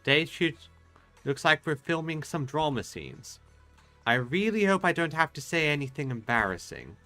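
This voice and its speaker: A man streaming a game with casual commentary, halting and repeating words as he goes. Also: a little high, big pitch swings, pushed and bright, faint music behind.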